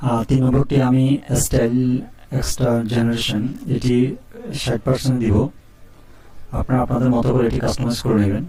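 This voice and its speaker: Male tutorial host, quick monotone delivery with step-by-step instructions. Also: mid-range voice, reverberant, far from the mic, poor audio. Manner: steady instructional, frequent "ঠিক আছে?" check-ins. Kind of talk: screen-recorded software tutorial.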